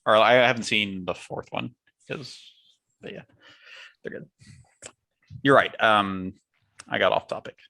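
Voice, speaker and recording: A young man with a lowish voice that comes across bright and sharp. He chats casually, like one co-host among friends on a podcast. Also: measured pace, creaky, pauses often. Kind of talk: casual chat.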